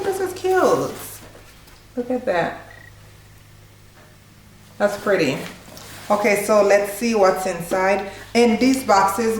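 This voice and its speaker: A woman in her thirties hosting a casual unboxing vlog at home, chatty and informal, exclaiming with delight. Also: medium pitch. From Haitian background. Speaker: cooking channel host. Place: small living room at home, indoors. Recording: echoey room, clear background noise.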